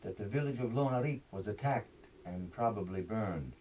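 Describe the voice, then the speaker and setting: A man narrating an audiobook dramatically, with a coached South Sudanese Dinka accent and a slightly husky voice. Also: fairly low pitch, normal speed, a little mellow, poor audio.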